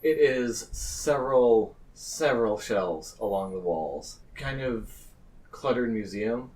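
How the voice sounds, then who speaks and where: A man's voice: mid-range voice, expressive, slow pace, darkish tone. A man narrating a tabletop game as game master. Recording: slightly distant mic, faint noise.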